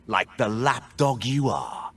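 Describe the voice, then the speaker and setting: Casting: a bitter, rousing rebel mage for a game; slow, deliberate, intense lines with dramatic swings. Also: male voice, mid-range voice, big pitch swings, husky, heavily acted. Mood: menacing. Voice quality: intense, forceful delivery. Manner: theatrical rallying cries and taunts. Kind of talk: video game character voice lines.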